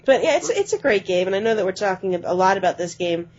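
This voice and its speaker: A woman co-hosting a podcast, chatting quickly about video games and often letting her phrases trail off. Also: medium pitch, very animated, a little mellow. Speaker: podcast co-host. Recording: dull lo-fi podcast audio.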